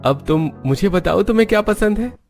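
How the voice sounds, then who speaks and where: Friendly young city bridegroom, raised pitch man acting. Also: lively intonation, brisk, creaky, a little mellow, faint music behind. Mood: friendly, curious.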